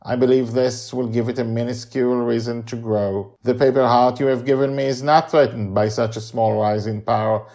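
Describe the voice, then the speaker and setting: A man voicing a formal, gentle machine companion in a story, speaking in stiff, precise, measured phrases. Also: medium pitch, fairly even intonation, pitched above the performer's own voice, lightly acted. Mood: earnest, measured. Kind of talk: acted storytelling dialogue.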